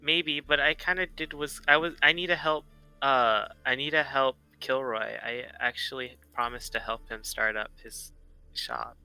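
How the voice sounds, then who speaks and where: A man's voice: medium pitch, steady tone, unhurried, bright, forceful tone, smooth, soft background music, low-quality recording. A man talking casually as a player in a tabletop game. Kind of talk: casual chat.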